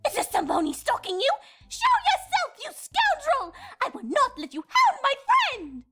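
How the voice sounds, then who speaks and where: A woman's voice: very high-pitched, huge pitch swings, normal speed, music in the background, full character voice. A woman voicing a dramatic, genteel, doting female cartoon unicorn fashion designer with a refined, theatrical manner. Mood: outraged. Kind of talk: cartoon fan-fiction voice acting.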